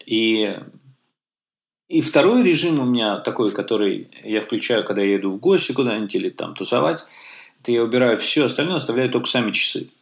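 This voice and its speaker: A man's voice: mid-range voice, lively intonation, a little fast, vocal fry. A man co-hosting a casual tech podcast, talking with many fillers and restarts. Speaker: podcast co-host.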